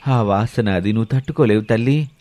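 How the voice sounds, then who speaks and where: A man voicing a scheming, fawning middle-aged village uncle in an animated moral story, coaxing others and muttering to himself. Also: lowish voice, brisk, natural voice. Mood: flustered, pleading.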